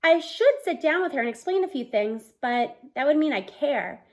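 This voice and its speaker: A woman in her thirties reading a novel aloud in an expressive, storytelling style, acting out the dialogue. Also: high-pitched, moderate pace. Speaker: read-aloud book reader. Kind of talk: expressive children's audiobook-style read-aloud. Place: small room at home, indoors.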